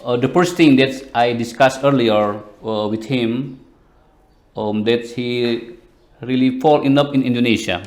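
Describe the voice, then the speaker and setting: A man hosting a podcast interview, asking questions in hesitant, halting phrasing. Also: mid-range voice, darkish tone. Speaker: podcast host.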